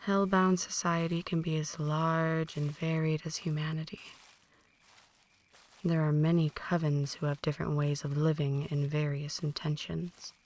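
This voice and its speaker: A woman performs an ancient female vampire in an intimate ASMR roleplay. Her voice is low, soft and breathy, slipping often into whispers. She takes her time over each phrase, condescending and quietly menacing, like a possessive mentor to a newborn. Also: little pitch movement. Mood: calm, lecturing. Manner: deliberate, condescending, softly menacing. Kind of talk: ASMR roleplay, slow intimate dramatic monologue.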